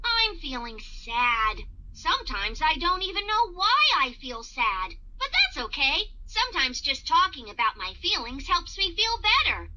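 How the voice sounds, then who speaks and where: A performer voicing a friendly young owl in a children's cartoon, speaking in a playful, expressive sing-song way directly to little children. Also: extremely high pitch, normal speed, hardly any pauses, very noisy, exaggerated voice. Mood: sad. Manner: exaggerated, expressive, encouraging, addressing the child directly. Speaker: children's educational cartoon character. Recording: dull lo-fi sound, music behind.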